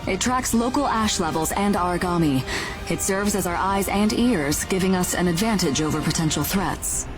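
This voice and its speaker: An actress playing a composed, shrewd woman who leads a caravan crew in a video game, speaking in a measured, businesslike, authoritative way. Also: fairly low pitch, natural voice. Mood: grave. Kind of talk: video game cutscene acting. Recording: game music behind the voice.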